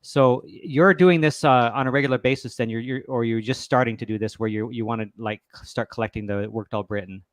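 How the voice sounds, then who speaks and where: A man's voice: medium pitch, steady tone, fairly quick, few pauses, dry recording, clean studio sound. An American man hosting a casual hobby discussion, asking questions in a hesitant, rambling way. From United States. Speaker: host.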